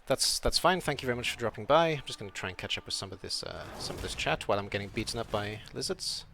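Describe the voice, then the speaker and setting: A young man from Wales speaks with a British accent that carries a slight European tilt, his voice low and a little gravelly. He chats easily as he streams, answering viewers and talking through each move, with a faint hiss of noise underneath. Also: lively intonation, fast talker. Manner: chatty, reads chat aloud, thinks out loud. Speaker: streamer playing a video game. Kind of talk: casual live-stream commentary.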